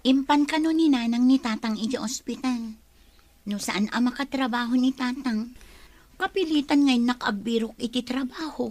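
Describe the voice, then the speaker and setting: A woman's voice: fairly high pitch, moderate pace, a little mellow, no room sound, natural voice. A young woman with an Ilocano Filipino accent playing an earnest, dutiful student daughter in a radio drama. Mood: troubled.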